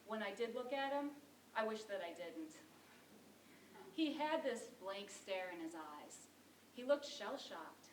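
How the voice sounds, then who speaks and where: A woman's voice: mid-range voice, big pitch swings, measured pace, pauses often. A woman performing a wry, exasperated struggling actress in a theatrical monologue, telling her story with dramatic emphasis. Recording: roomy distant mic, faint noise.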